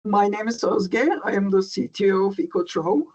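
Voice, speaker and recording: A woman, a tech executive, introducing her background in a measured, orderly way during an interview. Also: medium pitch, animated, a little mellow, lo-fi sound. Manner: measured, resume-like self-introduction. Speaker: startup CTO guest. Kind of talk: business interview answers.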